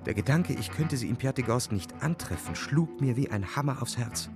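An actor playing a proud, brooding young officer, narrating a literary radio play in a measured, dramatic delivery. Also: male voice, medium pitch, lively intonation, creaky. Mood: desperate, agitated. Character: proud, brooding young Russian officer recounting his fate. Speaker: narrator and lead character. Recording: studio radio play with music. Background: music.

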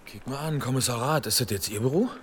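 An actor playing a chatty, wry police ballistics expert in a radio drama, folksy in manner. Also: male voice, mid-range voice, fast talker, slight background noise, close to an everyday voice. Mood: teasing.

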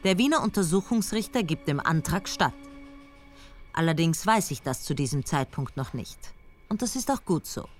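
An actress playing a calm, dry female police investigator who also narrates in the first person, speaking in a measured, firm way. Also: low-pitched, faint music behind. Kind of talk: German radio drama acting with first-person narration.

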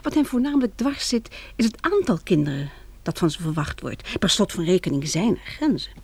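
A woman's voice: medium pitch, big pitch swings, brisk, vocal fry, darkish tone, breathy edge, dry recording, heavily acted. An actress playing a frank, wry young woman in intimate radio drama dialogue, with a candid, teasing way of talking. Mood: frank, relaxed.

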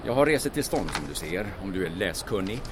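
An actor playing a sardonic, defiant clerk travelling under occupation in a radio drama, dry and mock-polite. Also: male voice, sits low, very animated. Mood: dry defiance. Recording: noisy urban station with vehicles.

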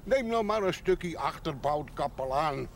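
A man's voice: on the high side, animated, a little slow. An actor playing a quirky, proud old Dutch villager in his seventies, folksy and droll, in a television drama. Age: 70s. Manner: folksy, droll, self-assured. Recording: faint background noise, television soundtrack.

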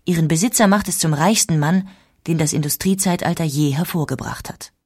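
A woman's voice: sits low, fairly quick, darkish tone, breathy edge, dry recording, clean studio sound. A woman narrating a documentary audiobook with dramatic emphasis.

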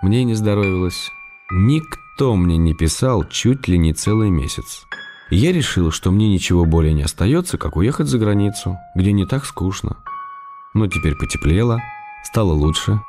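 Radio drama: gently affectionate, wry writer in exile; deep-set warm voice, measured letter reading over music. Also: male voice, animated. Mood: weary. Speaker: actor in a radio play. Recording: radio broadcast with music behind.

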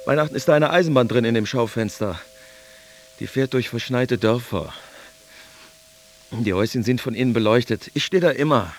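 An actor playing a lonely, childlike dreamer in a conversational radio drama monologue. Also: male voice, medium pitch, normal speed, pauses often, a little hiss or hum, natural voice. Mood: wistful. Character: lonely, childlike dreamer of a man, freshly separated.

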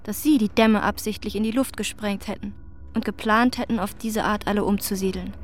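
A woman's voice: mid-range voice, fairly even intonation, brisk, natural voice. An actress playing a shaken professional woman in a German radio drama, telling her story in a reflective, descriptive first person. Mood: measured. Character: shaken professional woman visiting a wrecked neighborhood.

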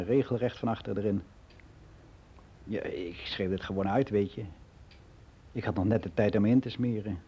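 A man's voice: on the low side, warm tone, slight background noise, close to an everyday voice. An actor playing a world-weary middle-aged man who rambles in a frank, confiding way in a radio play monologue. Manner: rambling, confiding, matter-of-fact.

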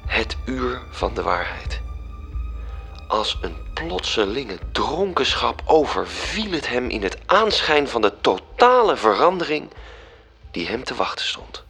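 An actor in a radio play narrating in a measured storytelling manner, with a gravelly voice. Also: male voice, mid-range voice, very animated, fairly bright tone, soft background music, full character voice.

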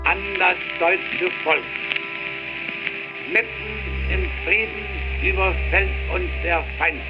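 An actor playing a solemn German emperor, declaiming a wartime proclamation in rhetorical style. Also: male voice, high voice, takes it slowly. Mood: solemn, defiant. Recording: dull old-radio sound under loud music.

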